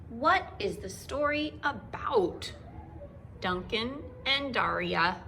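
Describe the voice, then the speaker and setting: A woman teacher reading a children's book aloud in an expressive, deliberate storyteller style for young listeners. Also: medium pitch, slight background noise.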